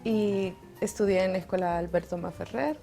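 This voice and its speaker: A woman's voice: medium pitch, moderate pace, vocal fry, mellow, faint music behind. A young adult woman with a Salvadoran accent, chatting as a guest in an interview, laughing often and restarting words. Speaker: guest, mountaineer. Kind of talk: casual interview chat.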